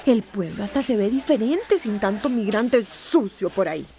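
An actress playing an indignant, intolerant, fussy subordinate who protests whinily in a radio soap. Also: female voice, medium pitch, lively intonation, normal speed, dark tone, slight background noise, lightly acted. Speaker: actor in a radio drama. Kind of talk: radio soap opera dialogue.

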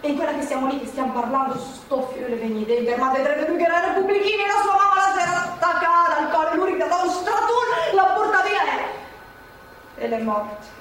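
A woman's voice: very high voice, fairly quick, a bit bright. An actress playing an elderly Milanese former partisan woman in a theatrical monologue, nostalgic, earthy and rambling, in colloquial speech. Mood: urgent, grieving. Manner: colloquial, rambling, repeating herself. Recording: echoey theatre, distant mic, faint noise.

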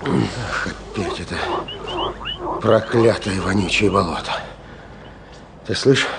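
A gruff soldier-artist at war, exhausted but stubborn and tender toward life. His voice is lowish and drags slowly as he mutters to a comrade. Clear background noise of the wild surrounds him in this old radio play. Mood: weary, bitter. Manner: muttering, cursing, talking to a silent comrade. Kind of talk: Soviet radio drama acting.

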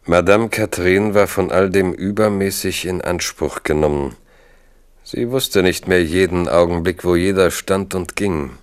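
Male narrator for historical radio drama: very low voice, measured, literary storytelling. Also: clean tone. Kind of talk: scripted literary narration, 1970s radio play.

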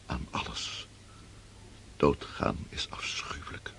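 An actor playing a pompous, world-weary Russian prince of nearly sixty in a radio drama. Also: male voice, slow pace, sharp tone, whispered. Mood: weary, mournful. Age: 50s.

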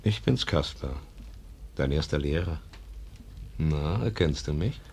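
A man's voice: very deep voice, lively intonation, slow, darkish tone, full character voice. A man in a radio play acting a compassionate, indignant professor, earnest and solemn as a grieving former teacher. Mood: tender, solemn. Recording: noisy archive radio play recording.

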